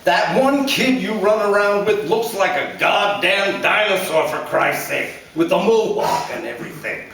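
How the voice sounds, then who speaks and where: A man's voice: high-pitched, expressive, a little slow, vocal fry, few pauses, a bit bright, pitched above the performer's own voice, heavily acted. A man voicing an angry, belittling middle-aged drunk father, ranting and sarcastic, heard in an echoey room from far off.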